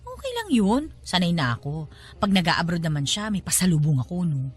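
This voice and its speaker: Lively Filipina legal assistant. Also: female voice, lowish voice, fairly quick, husky. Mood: resigned, teasing. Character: patient, good-natured young female legal assistant. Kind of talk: radio drama dialogue.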